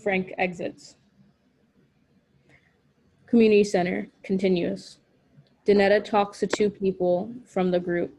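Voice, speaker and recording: Lady narrating a script on an online call, mid pitched voice, bit of background noise. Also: level tone, a little slow, creaky, long pauses, a little mellow. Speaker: stage direction reader at a table read. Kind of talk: scripted narration of stage directions. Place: remote video call. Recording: noisy online call audio.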